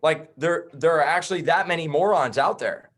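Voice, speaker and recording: A man's voice: on the high side, normal speed, fairly bright tone. A man in his thirties, a chiropractor guesting on a podcast, talking bluntly and heatedly in casual chat. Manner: blunt, heated, swears and says bro.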